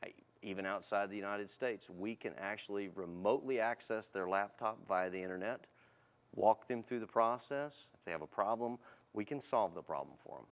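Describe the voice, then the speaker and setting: A man's voice: lowish voice, normal speed. A man speaking as a guest technical specialist, explaining things in a polite, matter-of-fact way in an interview. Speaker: guest car tuning specialist. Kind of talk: plain product explanation in an interview.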